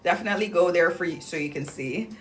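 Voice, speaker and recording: A woman's voice: fairly low pitch, fast, fairly bright tone, slight background noise. Chatty, slangy young woman livestreaming. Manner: chatty and bubbly, slangy, lots of "like" and "um". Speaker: livestream host promoting clinic deals. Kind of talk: casual livestream chat, reading viewer comments.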